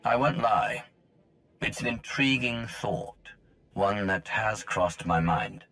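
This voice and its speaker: An actor playing a cool, pragmatic, battle-hardened mercenary in a video game, terse and stoic, with a gravelly voice. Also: male voice, on the low side, big pitch swings, slow, fairly bright tone, soft background music, exaggerated voice. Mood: musing. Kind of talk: video game cutscene acting.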